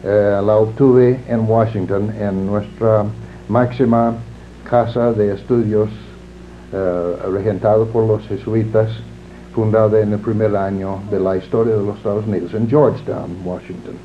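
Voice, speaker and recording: An older native English speaker talks in a slow, formal, reflective manner, his voice sitting on the low side. The sound is thin and narrow like an old video tape, with a faint hiss underneath. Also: steady tone. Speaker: interviewee. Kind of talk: formal recorded interview. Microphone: camera mic.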